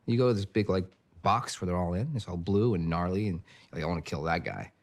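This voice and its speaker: An actor playing an arrogant, flippant champion racing driver in a mock-documentary game scene, sneering and scornful. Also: male voice, low voice, fast.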